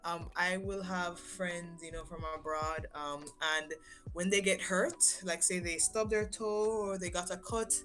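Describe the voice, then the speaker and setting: A woman chatting on a stream as co-host, telling stories in an animated way. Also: unhurried, soft background music. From Jamaica. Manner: animated storytelling, jumps high when quoting. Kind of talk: casual chat.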